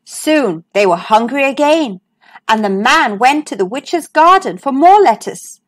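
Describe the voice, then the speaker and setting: Slow, sing-song woman reading a storybook. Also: sits high, lively intonation. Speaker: storyteller, channel host. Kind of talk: reading a picture book aloud to children.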